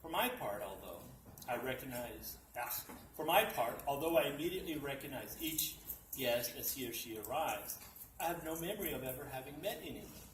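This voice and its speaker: A man reading a humorous story aloud at a library dinner event, in a dry, deadpan storytelling manner. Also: mid-range voice, a little fast. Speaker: reader at a literacy event. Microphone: distant mic. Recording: echoey room, distant mic, background noise.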